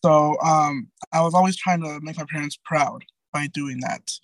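Young guy about 20, kinda high light voice, says like and um a lot, dry close sound. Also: moderate pace, no echo, rough recording. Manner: chatty, full of "like" and "um", restarts. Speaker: interview guest. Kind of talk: casual personal interview.

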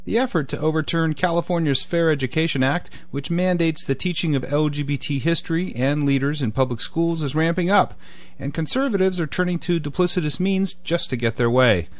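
A man reading a scripted community radio news segment in a crisp, pointed delivery. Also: a little high. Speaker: news reader.

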